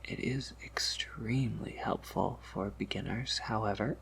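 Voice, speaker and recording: A man performs a patient, knowing vampire shopkeeper for an ASMR roleplay. He speaks slowly and softly, mostly in a whisper that drops into heavy creak. His explanations are measured and slightly wry. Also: fairly low pitch. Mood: calm, instructive. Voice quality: breathy whisper with creaky undertone. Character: soft-spoken male vampire apothecary shopkeeper, patient and knowing.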